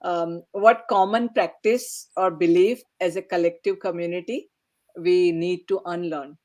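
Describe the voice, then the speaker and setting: Woman podcast host, slightly low voice. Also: steady tone, a little slow, a little mellow. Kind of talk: moderating a panel discussion.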